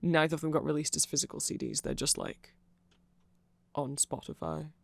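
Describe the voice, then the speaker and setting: A man's voice: fairly high pitch, steady tone, moderate pace, creaky, a bit bright, no echo. A man giving a rambling, nerdy, informal ASMR explainer, often hesitating and interrupting himself. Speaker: ASMR creator explaining band lore.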